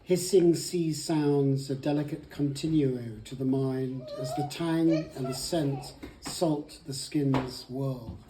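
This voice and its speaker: A woman's voice: extremely low pitch, warm tone. A woman reading a poem aloud to others. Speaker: family member reading a poem. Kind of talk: poetry reading. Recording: roomy echo, faint noise.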